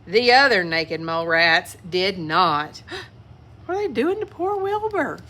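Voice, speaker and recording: A woman's voice: on the low side, sing-song, measured pace, sharp tone. A woman reading a children's picture book aloud expressively, with asides to young listeners. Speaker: children's story reader.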